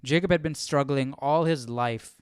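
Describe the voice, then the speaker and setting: A man's voice: mid-range voice, moderate pace, clean tone, no echo, clean studio sound. A man hosting a Bible study livestream in casual chat, questioning and thinking aloud informally. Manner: informal, questioning, muttering to himself.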